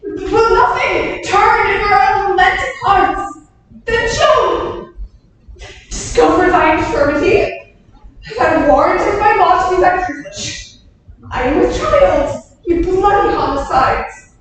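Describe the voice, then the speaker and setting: A woman's voice: very high voice, expressive, slow pace, full character voice. A woman playing a proud, defiant young condemned maid, declaiming impassioned verse in a Shakespearean staged reading. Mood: desperate. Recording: distant echoey hall recording, faint noise.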